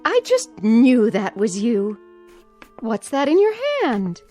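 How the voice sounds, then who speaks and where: An actress playing an anxious, doting aunt in a radio drama, sweetly coaxing and nervously repeating herself. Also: female voice, a little high, very animated, slow, dark tone, faint music behind, full character voice. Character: anxious, doting aunt placating a feared nephew.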